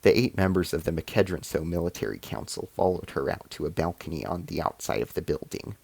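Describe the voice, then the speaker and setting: Low creaky man narrating. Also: expressive, fairly quick, a little mellow, no echo. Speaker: narrator reading a short story. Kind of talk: scripted narration.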